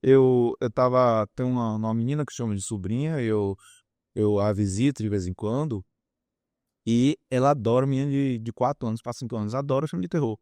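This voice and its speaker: A man's voice: medium pitch, brisk, darkish tone, smooth, no echo. A man, explaining his opinions in an interview with examples and repeated words for emphasis. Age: 50s. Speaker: guest psychologist and author.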